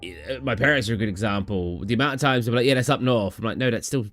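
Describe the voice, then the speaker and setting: Tired, rambling young streamer. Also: male voice, on the low side, quick pace, fairly bright tone, soft background music. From southern England. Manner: rambling, chatty, answers chat questions. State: tired, low energy. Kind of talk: casual live-stream Q&A chat.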